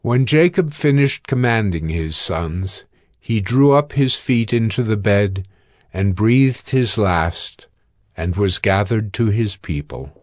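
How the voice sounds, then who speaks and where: A man narrating scripture in a solemn, measured and formal scripted style. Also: on the low side, animated, no echo, studio quality.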